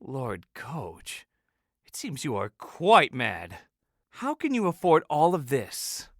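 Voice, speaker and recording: An actor voicing a wry, distrustful sea captain in a fantasy audiobook, with formal phrasing. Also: male voice, medium pitch, wildly swooping pitch, slow pace, no room sound, studio quality, heavily acted. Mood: amused, mocking. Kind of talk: audiobook character acting.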